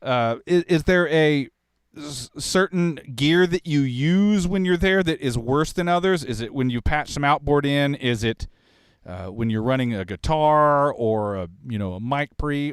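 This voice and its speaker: Smooth-voiced man hosting studio chat. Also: mid-range voice, a little slow, no room sound. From Kansas. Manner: rambling, restarts questions, chuckles. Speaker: podcast host. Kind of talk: casual studio shop talk.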